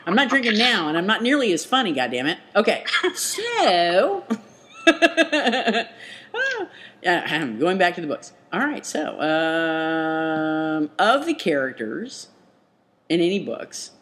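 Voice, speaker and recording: A woman's voice: on the low side, very animated, takes it slowly, fairly bright tone. A woman co-hosting a podcast in casual banter, playful and salty-tongued. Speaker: podcast co-host.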